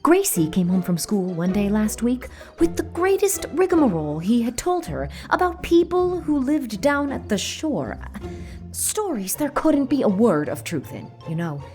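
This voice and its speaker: A woman's voice: a little high, very animated, measured pace, warm tone, soft background music. A woman playing a stiff, opinionated married village gossip, disapproving and emphatic, in an audiobook. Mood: scandalized gossip. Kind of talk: audiobook character acting.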